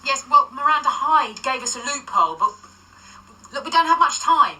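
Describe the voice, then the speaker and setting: An actress playing a sardonic, streetwise ghost agent who stays unflappable, in a radio drama. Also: female voice, high-pitched, animated, normal speed, bright, forceful tone, heavily acted. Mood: urgent. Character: wry, unflappable female ghost of a French nun, paranormal agent. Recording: slightly distant, faint background noise and ambience.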